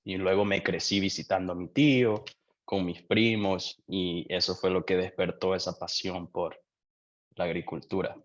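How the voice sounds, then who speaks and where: Young Honduran man, very low voice, lo-fi muffled sound, thoughtful interview guest. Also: steady tone. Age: young adult. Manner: reflective, unhurried, with frequent restarts. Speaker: guest, doctoral student. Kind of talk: casual interview answers.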